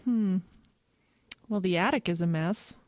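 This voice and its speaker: A woman playing a firm, patient mother in an audio drama, speaking in a measured way. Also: on the low side, no room sound, studio quality, natural voice.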